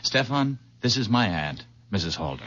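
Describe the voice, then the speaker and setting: An eager young nephew, talkative and devoted. His pitch is very lively and his voice sits in the middle of the male range. Clear noise runs through the dull, dated recording. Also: normal speed. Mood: cheerful, fond. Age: young adult. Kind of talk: old-time radio drama acting. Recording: noisy, dull old radio recording.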